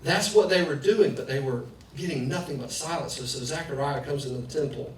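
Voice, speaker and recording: Guy in his 30s preaching casually, kinda high voice, mic far off in a roomy church. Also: a little fast. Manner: conversational preaching with personal stories. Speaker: pastor. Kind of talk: sermon. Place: church sanctuary, preaching in the round. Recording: echoey hall, distant mic, faint noise.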